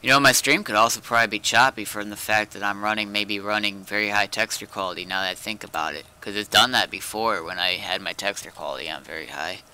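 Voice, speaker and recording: Bright, crisp, low-pitched man chatting. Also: fast talker, hardly any pauses, low-quality recording. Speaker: game streamer. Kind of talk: casual chat. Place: at a PC on online voice chat.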